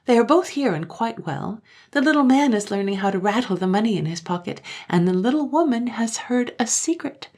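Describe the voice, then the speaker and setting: A woman's voice: a little high, animated, fairly quick, few pauses, darkish tone, voice pushed up. Woman doing a breezy teasing village girl. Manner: breezy and offhand.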